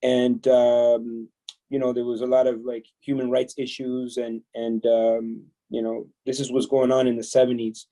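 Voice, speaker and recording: A man in his fifties, born in El Salvador, telling his life story as a podcast guest in a relaxed way, chuckling and full of fillers. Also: mid-range voice, normal speed, rough recording. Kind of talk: storytelling interview.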